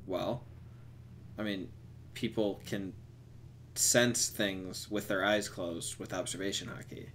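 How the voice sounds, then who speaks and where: Guy hosting a laid back fan chat, kinda low voice, informal n teasing. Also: fairly even intonation, takes it slowly, a bit bright. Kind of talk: casual anime fan discussion.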